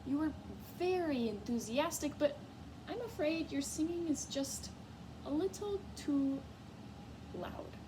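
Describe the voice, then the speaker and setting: A woman plays a male mouse maestro from a storybook, squirming and apologetic. He picks his words tactfully and draws them out with a touch of pomp. The recording has clear background noise. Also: sits high, takes it slowly, pitched above the performer's own voice. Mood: regretful.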